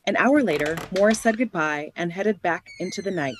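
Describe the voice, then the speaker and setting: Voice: medium pitch, animated, brisk, noisy background. A narrator reading scripted storytelling for a radio play.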